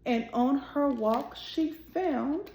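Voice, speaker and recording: In an echoey room, a woman hosts a storytime for children. Her voice sits fairly high, and she takes her time, deliberately addressing the young listeners as she reads. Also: gravelly, dark tone. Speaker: host of a children's read-aloud show. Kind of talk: children's storybook read-aloud. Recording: roomy, echoing sound.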